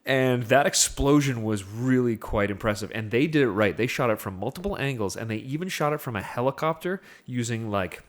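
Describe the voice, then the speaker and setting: A man's voice: mid-range voice, lively intonation, brisk, hardly any pauses. A man co-hosting a film podcast, talking casually and telling things as a story with acted-out asides. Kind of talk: casual film discussion with plot recap.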